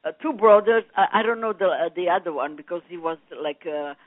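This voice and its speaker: A woman in her nineties, born in France and speaking, her Armenian, reminiscing haltingly and often searching for words. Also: fairly low pitch. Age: 90s. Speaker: interviewee. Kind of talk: reminiscing in casual conversation. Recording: tinny phone-like line, dry.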